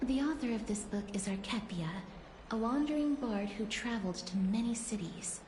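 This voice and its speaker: An actress playing a gentle, earnest, bookish young woman in a video game, soft-spoken and polite with breathy phrase endings. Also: fairly high pitch, lively intonation, normal speed, noisy background, natural voice. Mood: tender, reflective. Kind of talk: video game character acting. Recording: echoey, faint background ambience.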